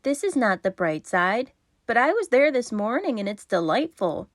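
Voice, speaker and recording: A dainty lady mayfly, acted by a woman, speaks shyly and gently in a children's storybook. The voice floats up high and sounds dry and close. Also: sing-song, moderate pace, no echo. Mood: shy, gentle. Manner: gentle, shy.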